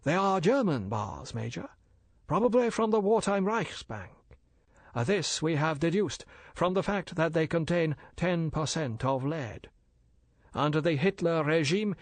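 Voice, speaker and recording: A man voicing a suave older Chinese merchant in an audiobook, bland and smiling, with measured, formal, politely evasive courtesy. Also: a little high, lively intonation, dry recording, voice pushed up. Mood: politely informative.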